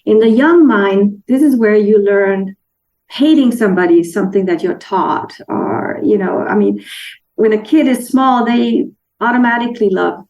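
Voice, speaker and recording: Woman from denmark, gravelly voice, chatty guest telling a story, stops to hunt for words now and then. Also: mid-range voice, moderate pace, rough recording. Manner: warm storytelling with restarts and searching for words. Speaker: guest author. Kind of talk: casual interview chat.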